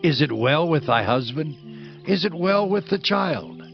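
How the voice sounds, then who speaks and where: A gravelly, commanding holy prophet. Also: male voice, a little high, lively intonation, measured pace, muffled recording, over music. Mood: concerned. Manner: declamatory, archaic formal phrasing. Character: Elisha, commanding male prophet, solemn holy man. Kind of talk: dramatized scripture audio acting.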